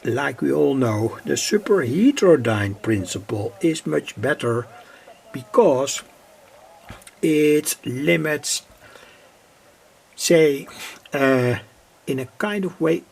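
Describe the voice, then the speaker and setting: A man giving an unscripted technical demonstration as a hobby electronics presenter, halting and explanatory, with a husky, slightly gravelly voice. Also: mid-range voice, expressive, darkish tone. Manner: halting, explanatory, with frequent pauses and repeated phrasing.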